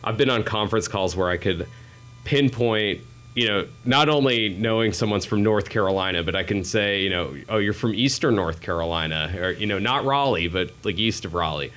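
A man's voice: lowish voice, normal speed, a bit bright. A man from New England, a podcast guest, telling casual stories with many fillers and restarts. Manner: rambling, self-deprecating, frequent fillers and restarts. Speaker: podcast guest, healthcare digital strategist. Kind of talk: casual interview storytelling.

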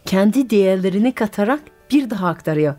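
A woman's voice: mid-range voice, lively intonation, quick pace, dark tone, faint music behind. A woman from France, by birth, hosting a radio interview in a curious, questioning way and often finishing the guest's sentences. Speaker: radio host and storyteller.

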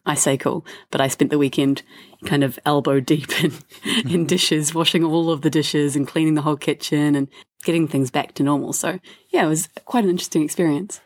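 Low-voiced woman chatting on a podcast. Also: fairly even intonation, a little fast, darkish tone, no room sound. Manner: chatty storytelling with laughs and fillers. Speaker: podcast co-host. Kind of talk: casual travel chat.

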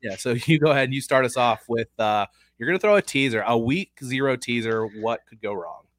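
A man with a head cold co-hosting a casual sports betting podcast, talking through picks in a measured, analytical way. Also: mid-range voice, a bit bright, no echo. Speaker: podcast co-host.

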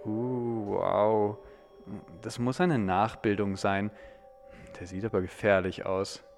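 A man acts an eager young male fossil fan in a youth radio play. He is excitable and loves to explain, delivering facts with theatrical enthusiasm. Music plays softly behind him. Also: on the low side, slow pace, creaky. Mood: awed, uneasy. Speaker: actor in an educational audio drama.

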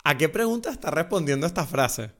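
A young man with a Canarian accent, co-hosting a casual film podcast, animated and quick to laugh, acting out quoted lines. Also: fairly high pitch. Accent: Canarian Spanish. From Canary Islands. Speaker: podcast co-host.

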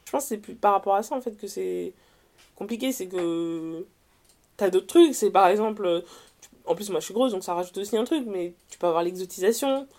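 Casting: young woman, French accent from France, mid-pitched voice, conversational interview guest, animated, slangy delivery that restarts and reworks its phrases. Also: a little fast. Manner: animated, slangy, often self-correcting. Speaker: interview guest, writer and columnist. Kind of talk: casual conversational testimony.

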